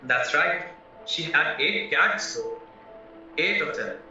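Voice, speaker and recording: A man's voice: on the high side, lively intonation, takes it slowly, pushed and bright, heavily acted. A man playing a naive, chatty, polite young husband under suspicion in an audio play, earnestly explaining himself. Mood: candid, chatty. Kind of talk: stage-style audio play acting. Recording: very echoey, distant, muffled, music behind.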